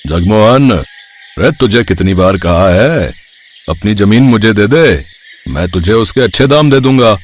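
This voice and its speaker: A man's voice: lowish voice, expressive, a little slow, pitched below the performer's own voice. A man voicing a greedy, scheming village landlord who speaks in a pushy, domineering way in a cartoon story. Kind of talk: animated moral story dialogue.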